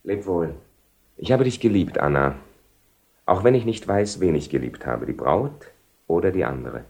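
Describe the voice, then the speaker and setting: A defiant young bridegroom in an old radio play. His voice sits fairly low and moves through big, restless swings. The delivery is earnest, proud and theatrical. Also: expressive, normal speed, gravelly, darkish tone. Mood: sorrowful farewell. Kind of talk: 1970 German radio drama acting.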